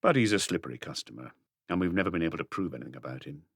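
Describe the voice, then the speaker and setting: A man voicing a calm, ironic police inspector who questions in an unhurried, probing way in a mystery audiobook. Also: very deep voice, husky, no room sound, studio quality, pitched below the performer's own voice, exaggerated voice.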